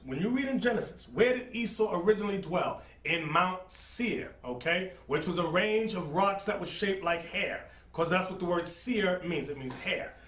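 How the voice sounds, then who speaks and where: Man, high lively voice, fiery bible teacher, roomy off-mic studio sound. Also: normal speed, hardly any pauses, far from the mic. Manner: shouts, repeats phrases, directs the reader. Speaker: preacher teaching from scripture. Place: studio set with dark backdrop.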